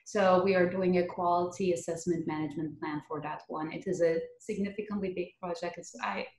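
A woman's voice: fairly low pitch, fairly even intonation, brisk, darkish tone. A woman in her thirties with a Turkish accent, giving conversational interview answers as an engineer on a podcast. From Turkey. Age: 30s. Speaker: podcast guest, geotechnical earthquake engineer. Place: living room at home, indoors. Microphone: computer mic on a video call. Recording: roomy echo on video call.

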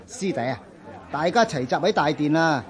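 An actor playing a jovial, chatty senior monk in a radio drama, talking in a colloquial, exclamatory way. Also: male voice, on the high side, expressive, normal speed, some chatter behind, lightly acted. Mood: excited. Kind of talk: Cantonese radio drama acting.

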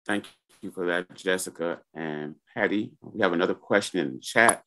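Male seminar host, very low pitch, deliberate delivery, posing questions over a video call. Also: sharp tone. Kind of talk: moderating a virtual panel. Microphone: computer mic on video call.

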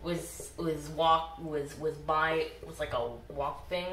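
A man's voice: a little high, level tone, slow pace, pushed and bright, distant mic. A young male co-host chatting casually and dramatically while drawing. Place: indoors at a wooden table. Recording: echoey, noisy home recording.

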